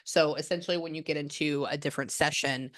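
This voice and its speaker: Woman in her 30s, low voice, chatty rambling medium on a video call. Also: fairly even intonation, a bit bright. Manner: chatty and rambling, quick bursts of surprise. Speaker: psychic medium. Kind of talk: live reading session on a video call.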